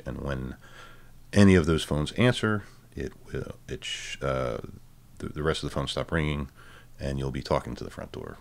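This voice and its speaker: A man giving a casual, halting tech demo of a hobby project, dryly informal and self-deprecating, with a gravelly voice. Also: extremely low pitch. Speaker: presenter of a hobby electronics project.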